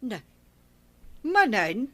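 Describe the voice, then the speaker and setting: A woman with an Ilocano accent playing a brisk village woman who questions everyone, in an Ilocano radio comedy drama. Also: fairly high pitch, very animated, exaggerated voice.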